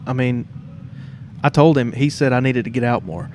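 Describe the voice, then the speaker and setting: A man's voice: medium pitch, expressive, moderate pace. Casual male podcast host, noisy background. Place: shared studio space in a theater. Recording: clear background noise.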